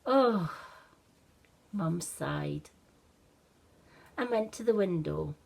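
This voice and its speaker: A woman narrates a children's story at a very unhurried pace. Her delivery is that of an expressive storyteller, with a medium-pitched voice.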